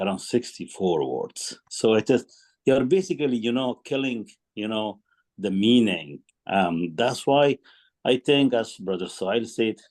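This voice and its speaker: A man whose first language is Farsi speaks up during a study session. His voice is somewhat low, and his delivery is earnest but halting. He leans heavily on ums and "you know" as he works through his point. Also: a little mellow. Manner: earnest, hesitant, full of ums and you-knows. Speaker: participant commenting in a study session.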